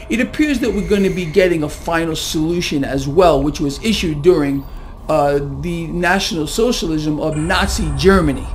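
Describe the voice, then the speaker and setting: Male commentator, high for a man, urgent and alarmed delivery, light music bed. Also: a little mellow. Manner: emphatic, alarmed. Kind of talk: urgent political and economic commentary.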